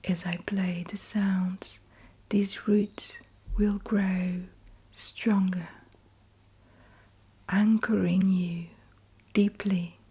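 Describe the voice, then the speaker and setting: A woman whispers a guided meditation at an extremely slow pace. Her voice is very breathy and soft-edged, with little movement in pitch. She sets out each short phrase deliberately and leaves long pauses.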